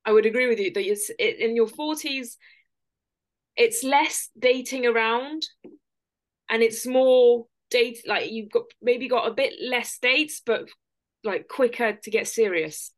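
This is a woman with a voice pitched a little higher than average. She speaks as a podcast co-host. Her delivery is casual, unscripted chat. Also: a little slow.

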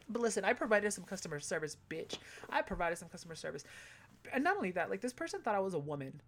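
A young man with a high-pitched voice, telling stories in a casual monologue, animated, swearing freely and tossing in sassy asides. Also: brisk, a little hiss or hum. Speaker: vlogger.

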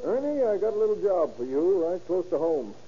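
A man acting a wry, genial police chief with a husky voice in an old radio crime drama. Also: high voice, big pitch swings, fairly quick, mellow, faint music behind, heavily acted. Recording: dull old-time radio recording.

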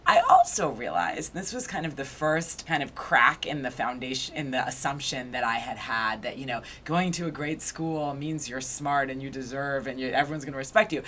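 A woman in her thirties, an author being interviewed, telling her story in a lively way with frequent restarts and "right?" tags. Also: extremely low pitch, moderate pace, hardly any pauses, a little hiss or hum. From Argentina. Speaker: guest, author and education researcher. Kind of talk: conversational interview.

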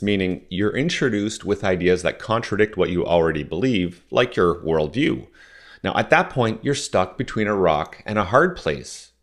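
A man in his forties with a somewhat low voice carries a solo podcast monologue. He grew up in Southern Ontario speaking French first. His delivery is measured and persuasive, often turning his points into questions. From Southern Ontario, Canada. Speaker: podcast host.

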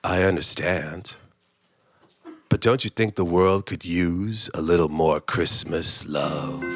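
Man voicing a low, earnest living Christmas decoration. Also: takes it slowly, noisy background. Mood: gentle, understanding. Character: handsome male living mass of Christmas decorations, earnest, curious, seductive. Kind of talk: comic audiobook character voice.

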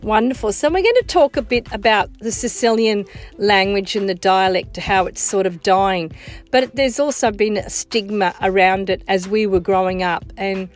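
A woman's voice: mid-range voice, moderate pace. A middle-aged woman hosting a casual podcast interview, encouraging her guest with short affirmations. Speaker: podcast host.

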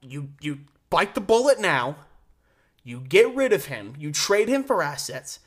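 A man's voice: on the high side, very animated, a little slow. A man hosting a solo sports show, giving opinionated commentary with emphatic delivery and words stretched out for stress. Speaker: sports podcast host.

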